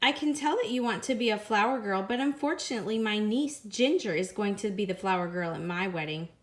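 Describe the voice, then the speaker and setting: Woman as a gently reasoning male mouse schoolteacher. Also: mid-range voice, lively intonation, a little fast, natural voice. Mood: apologetic.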